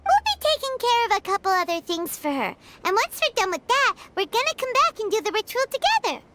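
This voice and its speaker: A woman voicing a tiny, childlike fairy companion in an anime game, squeaky, cutesy and chatty. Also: sing-song, a little fast, heavy background noise. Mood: breezy, confident. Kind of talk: scripted anime game voice acting. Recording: loud music behind the voice.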